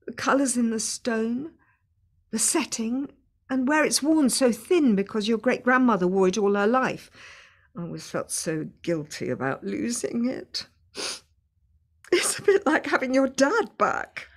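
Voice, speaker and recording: A woman's voice: a little high, expressive, a little slow. Wistful mother. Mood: moved, nostalgic. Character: wistful middle-aged mother with a grown child. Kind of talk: radio play acting.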